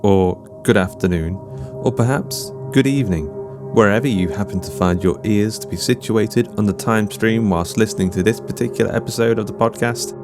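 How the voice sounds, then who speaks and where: A man's voice: lowish voice, moderate pace. A man introducing a podcast as its host in a playful, whimsically wordy manner over music. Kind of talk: scripted show introduction.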